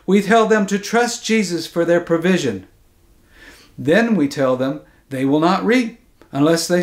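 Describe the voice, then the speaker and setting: A man in his fifties, a pastor, reading his own book aloud in measured, deliberate phrases that fall at the end. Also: high voice. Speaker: pastor and author. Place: small indoor study.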